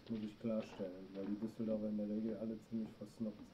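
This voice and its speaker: Low-voiced German man streaming a game. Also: flat delivery, quick pace. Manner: talks to himself while playing, reacts to chat. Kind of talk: let's play commentary. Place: small room indoors. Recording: dull stream audio with noise.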